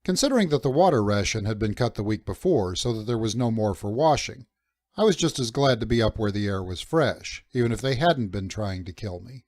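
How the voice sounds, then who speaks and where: A man reading scripted first-person adventure fiction as an audiobook narrator, in a measured, dramatic storytelling manner. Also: on the low side, lively intonation, a little mellow, no room sound.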